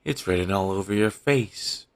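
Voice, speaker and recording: Man acting as a gravelly, caring talent manager. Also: moderate pace. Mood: teasing, probing. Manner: coaxing, gently teasing. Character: caring, teasing male talent manager soothing a troubled actor. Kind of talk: scripted audio roleplay, one-sided intimate conversation. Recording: low-quality amateur recording.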